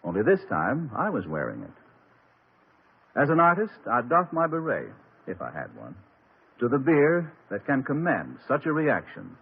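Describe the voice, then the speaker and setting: A man reading a scripted 1950s radio beer commercial in the role of a portrait painter, in a smooth storytelling way. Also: mid-range voice, wildly swooping pitch, measured pace. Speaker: ad pitchman playing a portrait painter. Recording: tinny old radio broadcast recording.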